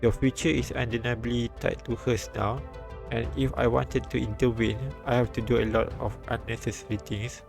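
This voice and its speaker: A man reading a visual novel aloud on a live stream, with halting restarts and bits of commentary. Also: medium pitch, little pitch movement, gravelly. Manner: halting, repeats and restarts lines. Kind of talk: live read-aloud translation and narration with commentary. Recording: background music behind the voice.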